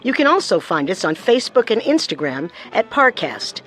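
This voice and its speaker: A woman's voice: medium pitch, big pitch swings, normal speed, fairly bright tone. A woman hosting a podcast with scripted true-crime narration. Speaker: podcast co-host.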